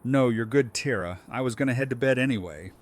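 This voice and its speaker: A man playing a grieving, gentle father in an audio drama, speaking in a subdued, sad way. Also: mid-range voice, a little fast, natural voice. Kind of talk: fan audio drama acting.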